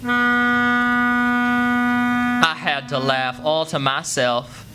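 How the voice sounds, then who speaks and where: A man's voice: mid-range voice, steady tone, very slow, loud music behind the voice. A man playing a plucky, crafty country boy telling his story in a radio play, folksy. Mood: dreamy, amused. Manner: folksy, plain-spoken. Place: live performance before an audience. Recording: faintly noisy live stage recording.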